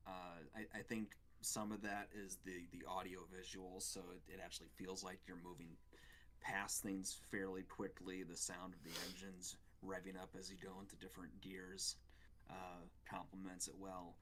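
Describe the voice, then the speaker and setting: Deep voiced guy on a gaming show, chatting through what he thinks of games. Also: little pitch movement, normal speed, a bit bright, a little hiss or hum. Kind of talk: casual game review chat.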